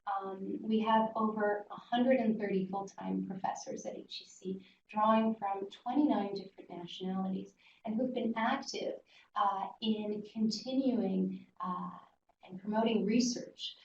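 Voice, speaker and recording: A woman's voice: mid-range voice, steady tone, unhurried, vocal fry, a little mellow, echoey room. Woman, webinar presenter, hesitant delivery, muffled sound, distant mic, faint noise. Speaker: webinar presenter for a business school. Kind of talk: informal slide presentation.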